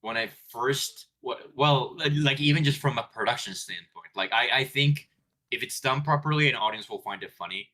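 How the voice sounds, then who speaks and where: A man's voice: mid-range voice, moderate pace, rough recording. A man from Colombia with a light Colombian accent, chatting casually and rambling on a late-night stream while tired and chewing gum. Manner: relaxed, rambling, self-interrupting. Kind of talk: casual chat.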